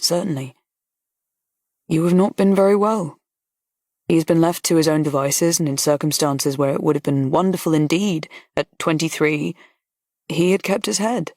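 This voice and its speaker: A woman reading an audiobook, voicing a kind male colonel who speaks in a pleasant, steady and frank way. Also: lowish voice, dry recording, clean studio sound. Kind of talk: audiobook character voice.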